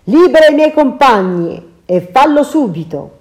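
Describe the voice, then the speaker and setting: A woman's voice: sits high, very animated, a little slow, a little mellow, voice pushed up. A woman voicing a stern male hero in a storybook reading, curt and commanding. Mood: stern threatening. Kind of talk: storybook character voice.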